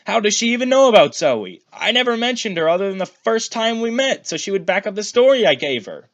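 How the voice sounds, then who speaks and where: Guy doing a flustered young demigod son, high whiny voice, loud indignant protests, storybook style. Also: big pitch swings, fairly quick, heavily acted. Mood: indignant whining. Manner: whining, protesting.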